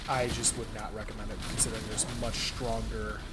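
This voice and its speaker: Young male streamer with a head cold; loose, self-deprecating gameplay commentary over clear game noise. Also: fairly low pitch, little pitch movement, a little fast. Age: young adult. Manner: rambling, self-deprecating, explains build tips. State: sniffly from a cold. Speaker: gaming content creator.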